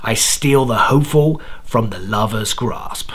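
Man voicing a slow, menacing, low demon spirit. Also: husky. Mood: cold, mocking. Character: cruel demon spirit, mocking, hungry, taunting the dying. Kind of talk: horror audio story acting.

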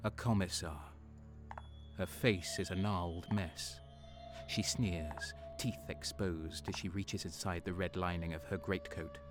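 Guy doing grim brooding wounded soldier narrating war drama, lowish voice, music loud behind him. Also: fairly even intonation, measured pace. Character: bitter wounded guardsman narrating his torment, adult man. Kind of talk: dramatic first-person narration in a sci-fi war audio drama.

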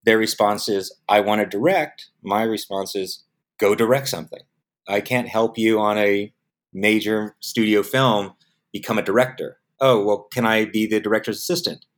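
A middle-aged man from the United States, a film producer speaking in a casual interview, telling stories and acting out the voices of people he quotes. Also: moderate pace. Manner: animated storyteller, acts out quoted voices with jumps in pitch; repeats words when restarting.

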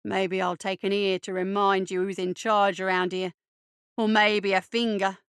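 A woman playing a sadistic older boy, a street bully who talks in rough, grandiose taunts for a story. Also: medium pitch, little pitch movement, unhurried, a bit bright, dry recording, clean studio sound, pitched below the performer's own voice, close to an everyday voice. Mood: menacing, gloating.

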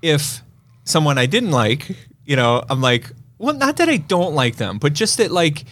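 A man's voice: fairly high pitch, big pitch swings, a little slow, a bit bright. A man co-hosting a podcast in casual chat, with a rambling, conversational way of talking. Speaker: podcast co-host.

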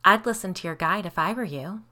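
A woman's voice: a little high, moderate pace, voice pushed up. Woman playing a coolly taunting, sinister hooded woman. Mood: coolly menacing. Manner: calm, mocking, ominous.

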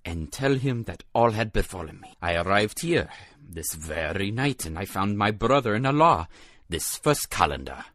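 A man's voice: lowish voice, big pitch swings, moderate pace, a bit bright, no echo. An actor playing a long-suffering young prince turned beggar dervish, telling his tale in formal, declamatory archaic English for an audio drama. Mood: narrating, weary. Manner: declamatory, formal archaic phrasing with recited verse.

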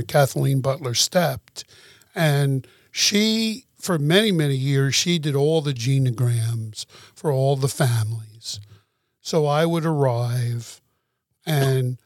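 A man hosting an interview, gentle and unhurried, often laughing as he talks. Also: mid-range voice, no echo, clean studio sound. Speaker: interview host. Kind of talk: casual interview talk.

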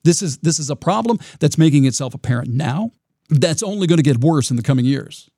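A man with a raspy voice hosting his own podcast, talking in a reflective, rambling way with frequent restarts. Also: a little high, mellow, no room sound, studio quality. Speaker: podcast host. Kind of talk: solo podcast monologue.

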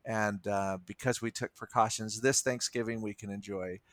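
A man's voice: lowish voice, steady tone, moderate pace, a bit bright, dry recording. A man co-hosting a podcast who speaks thoughtfully in a casual chat, hesitating often and restarting his sentences. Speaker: podcast co-host.

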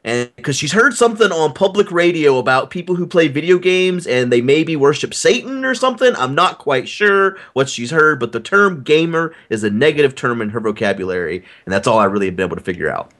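A woman's voice: sits low, expressive, fairly quick, hardly any pauses. A woman bantering casually in a group podcast conversation.

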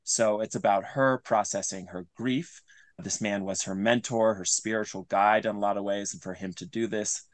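A man's voice: on the low side, fairly even intonation, normal speed, dry recording. A man speaking as an interview guest, explaining things earnestly in a conversational way and often restating his phrases. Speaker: interview guest, audio drama creator.

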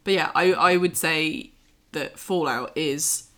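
A woman's voice: deep voice, slow, sharp tone. A woman co-hosting an arts show, giving her opinions in a casual film discussion. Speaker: arts show co-host reviewing films.

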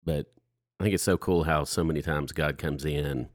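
Guy, super deep voice, dry recording, halting reflective host just chatting. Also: fairly even intonation, no echo. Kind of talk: casual faith conversation.

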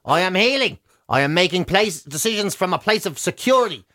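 Irish man, high-pitched voice, radio co-host, dry close sound, mocking comic banter, slangy exaggeration. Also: lively intonation, brisk, sharp tone, no room sound.

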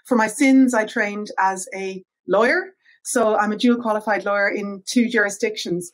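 A woman with an Irish accent, a podcast guest giving articulate, measured explanations. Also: mid-range voice. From Ireland. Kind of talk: interview answers.

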